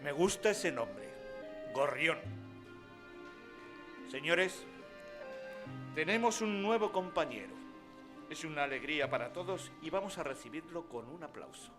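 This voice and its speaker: Slow-spoken, courteous schoolmaster played by a man. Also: on the high side, lively intonation. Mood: warm, welcoming. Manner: measured, courteous, formal address. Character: kindly, formal village schoolteacher, older man. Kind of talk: scripted radio drama acting. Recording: music behind the voice.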